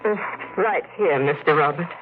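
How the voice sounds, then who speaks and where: An actress playing an anxious older housekeeper in a 1940s radio drama. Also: female voice, sits low, moderate pace, natural voice. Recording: tinny hissy old radio broadcast.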